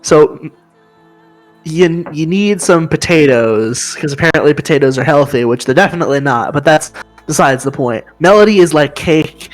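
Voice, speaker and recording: A man with a high voice co-hosting a casual podcast chat, explaining with enthusiasm and humming riff sounds. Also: expressive, normal speed, faint music behind. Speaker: podcast co-host.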